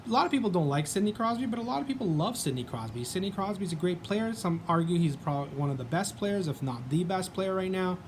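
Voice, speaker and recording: A man's voice: high voice, expressive, fast talker, few pauses, slight background noise. A man with a Canadian accent hosting a casual sports vlog, chatting in a rambling way with many ums and restarts.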